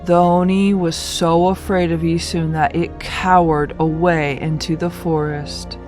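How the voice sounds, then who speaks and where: A woman narrating a children's folktale as a read-aloud, with expressive, deliberate delivery. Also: lowish voice, soft background music. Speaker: storyteller.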